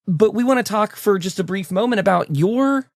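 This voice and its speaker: A man's voice: sits high, animated, normal speed, no echo, studio quality. A man hosting a casual interview show, speaking effusively and often stammering over repeated words. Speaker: talk show host.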